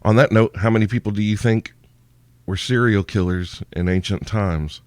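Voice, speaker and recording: A man's voice: on the low side, fairly even intonation, normal speed, dry recording. A male podcast host answering listener questions in a crude, irreverent, rambling way, sounding sleepy and tired.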